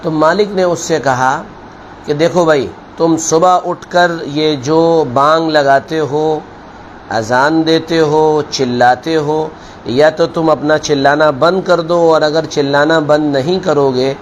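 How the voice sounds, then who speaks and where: Guy preaching like a maulana, highish voice, slow deliberate storytelling, bit of noise behind him. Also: steady tone. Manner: deliberate, admonishing, repeats phrases for emphasis. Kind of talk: sermon with storytelling parable.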